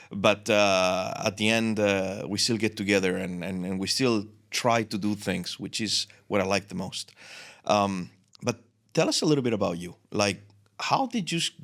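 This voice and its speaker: A male interview host who speaks warmly and full of praise, stumbling and restarting as he talks. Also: fairly low pitch. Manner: warm, complimentary, stumbles and restarts. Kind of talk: casual interview chat.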